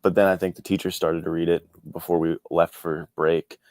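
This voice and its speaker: A man's voice: sits low, level tone, moderate pace, darkish tone, no room sound, studio quality. A man talking casually as an interview guest, easygoing and a little self-deprecating. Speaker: guest, indie author and podcast co-host. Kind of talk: casual chat.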